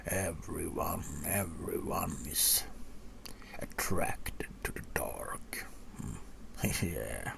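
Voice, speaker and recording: A man playing a gruff, seasoned old vampire hunter in a softspoken ASMR roleplay, half-whispering in a folksy, rambling way to a rookie. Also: lively intonation, heavily acted. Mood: reminiscing, folksy. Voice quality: low, gravelly, breathy half-whisper. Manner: unhurried, folksy, rambling with musing pauses.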